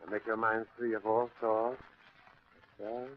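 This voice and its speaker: An actor playing a possessive, menacing stage mind reader in old-time radio thriller style, with a commanding, silky, deliberate delivery. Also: male voice, on the low side, little pitch movement, natural voice. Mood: commanding, intense. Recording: tinny, noisy old radio recording.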